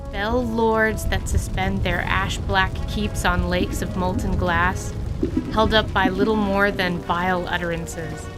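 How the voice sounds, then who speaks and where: Female narrator for a fantasy radio-style drama, vivid and theatrical, mid-pitched voice, with faint music underneath.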